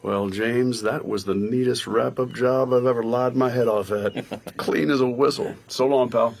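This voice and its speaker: A man's voice: medium pitch, fairly even intonation, normal speed, creaky, music in the background, natural voice. A man acting a breezy, wisecracking agent in a radio drama, folksy and wry. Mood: amused.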